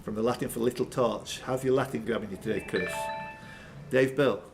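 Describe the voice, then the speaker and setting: A man's voice: medium pitch, brisk, music in the background. A man hosting a live pub quiz as quiz master, reading questions and tossing out bantering, jokey asides. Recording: background room noise.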